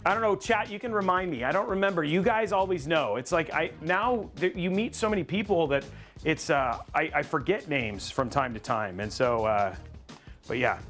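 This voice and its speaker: A man's voice: on the high side, moderate pace, a bit bright, soft background music. A man hosting a live stream, chatting casually and rambling upbeat with lots of fillers and restarts. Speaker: host of a live PC-building stream.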